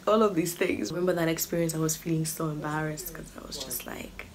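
A woman in her twenties from Kenya, telling stories casually to camera in an animated manner, recorded at home. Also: low-pitched, fairly quick. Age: 20s. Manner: animated, acts out other people's remarks. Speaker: vlogger. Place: small furnished living room or bedroom, indoors. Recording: clearly noisy home room recording.

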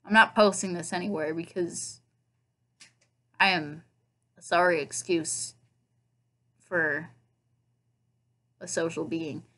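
A woman's voice: lowish voice, fairly even intonation, slow pace, long pauses, pushed and bright. A young woman with a Nebraska accent, talking casually and self-mockingly to a webcam in her bedroom. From Nebraska, USA. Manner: chatty, self-mocking, playful asides. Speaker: vlogger. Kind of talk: casual accent tag video. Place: bedroom at home, indoors. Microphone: laptop webcam.

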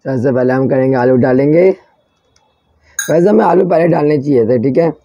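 A man vlogging a food challenge in a chatty way, talking to viewers as friends, with a hoarse, strained throat, recorded at home. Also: mid-range voice, normal speed, dark tone, no echo, lo-fi sound. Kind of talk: casual food-challenge vlog.

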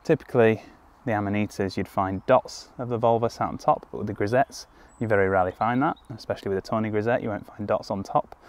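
A young man speaks in a lowish voice, calmly walking through how to identify what he's found. He talks easygoing and explanatory, with plenty of ums and restarts. He is crouched outdoors in a woodland clearing, recorded by a camera a few metres off. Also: fast, hardly any pauses. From United Kingdom. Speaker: foraging guide. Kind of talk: outdoor teaching walkthrough. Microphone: camera on a tripod at a few metres, crouching in frame.

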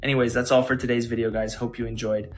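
A man hosting a tech channel, narrating a scripted software tutorial. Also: mid-range voice, flat delivery, quick pace. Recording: music behind.

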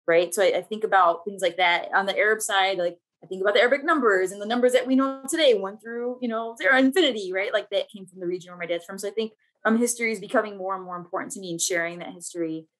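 A woman's voice: medium pitch, fast, fairly bright tone, poor audio. A woman in her thirties, from Puerto Rico, with an accent shaped by Mexican Spanish, speaking thoughtfully on a video-call panel. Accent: Mexican-influenced. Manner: thoughtful, restarts often. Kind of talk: casual panel discussion. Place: home office, indoors.

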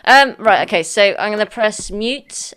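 A woman's voice: medium pitch, animated, measured pace, fairly bright tone, smooth. A woman hosting an online music session on a video call, friendly and a little scattered, with laughing asides. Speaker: session host. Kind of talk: casual online session chat. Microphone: computer mic on a video call.

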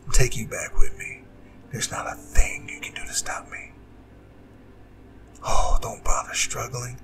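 Over clear background music comes a proud, menacing demon king acted by a man. His voice is a gravelly half-whisper, slow and grandiose, set in a roomy echo. It is a seductive, vengeful audio roleplay villain. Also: medium pitch, monotone. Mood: menacing, gloating. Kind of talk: ASMR audio roleplay, dramatic monologue. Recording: roomy reverb, distant, music behind.

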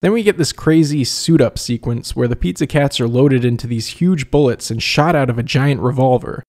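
A man's voice: medium pitch, normal speed, a little mellow. A man narrating a scripted review as a video host, conversational with wry asides.

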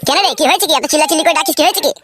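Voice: high-pitched, very fast, background noise, lightly acted. A performer voicing a naive, food-loving young village son-in-law in a comedy cartoon. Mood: loud surprised. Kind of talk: Bengali comedy cartoon dub.

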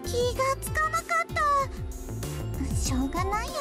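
A woman's voice: very high voice, very animated, brisk. A woman voicing a cheerful, sweet, childlike schoolgirl in an anime visual novel, with music playing underneath. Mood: casual, sweet.

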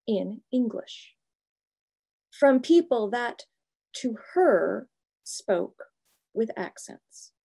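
A middle-aged woman pastor giving a reflective, prepared talk from a home office over a video call, measured and thoughtful, pausing between phrases. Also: a little high, creaky, warm tone. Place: home office with bookshelves, indoors.

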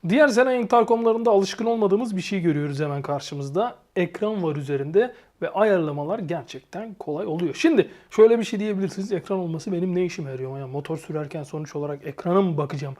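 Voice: medium pitch, fast talker, few pauses, a little mellow. A reviewer giving a casual, explanatory product review and addressing viewers informally. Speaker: motorcycle gear reviewer and channel host.

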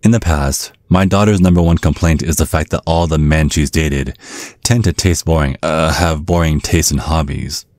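A man plays a genteel, menacing old vampire dad in an ASMR roleplay. His voice is extremely low and recorded very dry and close. He talks down to his daughter's suitor with polished, sly threat. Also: moderate pace, a little mellow, no room sound. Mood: veiled threat. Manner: slyly threatening, condescending, mock-polite. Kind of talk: ASMR roleplay, scripted monologue.

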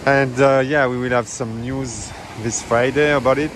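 Male travel vlogger, casual beach walk-through, mid-pitch, waves rushing behind, phone audio. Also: steady tone. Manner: chatty, non-native phrasing, fillers. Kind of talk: casual walking-tour commentary. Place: outdoors on a tropical beach. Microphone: handheld phone camera. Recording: outdoor phone audio with surf noise. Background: waves and wind.

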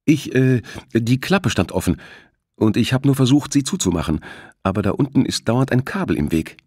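Man acting nervous, husky young steward. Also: medium pitch, expressive, moderate pace, darkish tone, no room sound, studio quality, voice pushed up. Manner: hesitant, defensive. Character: nervous thirtyish male ship steward making excuses.

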